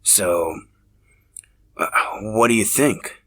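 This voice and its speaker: A man's voice: lowish voice, extremely slow, fairly bright tone, breathy edge, heavily acted. A man voicing a shy, brooding young man in a narrated story, hesitant and confiding. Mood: quiet, nervous.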